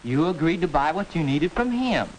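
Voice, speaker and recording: A man's voice: a little high, expressive, normal speed, natural voice, poor audio. A young-sounding American man playing an earnest teenage boy who explains things didactically in a 1940s educational film. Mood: explaining. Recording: hissy old film soundtrack.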